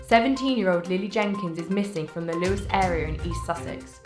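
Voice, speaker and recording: A woman's voice: fairly low pitch, brisk, close to an everyday voice. A woman playing a formal, solemn newsreader giving a news bulletin in an audio drama. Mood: urgent, formal. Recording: echoey voice under prominent music.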